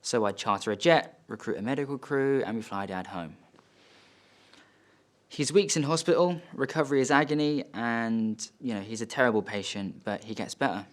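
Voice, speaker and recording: A man acting a stage monologue as a wealthy, bitter son, telling of family conflict in short, halting, emphatic phrases. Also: mid-range voice, natural voice. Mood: tense, subdued. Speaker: actor performing a monologue. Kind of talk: dramatic stage monologue, first-person storytelling. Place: studio.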